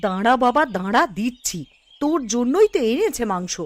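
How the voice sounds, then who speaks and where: A woman playing a scolding, fussing village wife and mother in a Bengali animated cartoon, with a nagging, exclamatory delivery. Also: a little high, brisk, dry recording.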